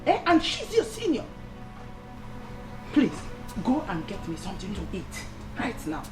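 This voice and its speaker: High-voiced, volatile Nigerian mother-in-law. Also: huge pitch swings, unhurried. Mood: indignant. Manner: scolding, repeating demands, emotional. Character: volatile, domineering mother-in-law, torn between scolding and tenderness. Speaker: actress. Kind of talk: melodramatic film drama acting. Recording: music bed under dialogue.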